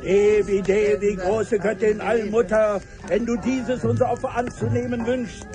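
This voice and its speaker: An actor playing a fanatical cult priest in a radio play, chanting a solemn invocation. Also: male voice, high-pitched, little pitch movement, a little slow, over music. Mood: fervent pleading.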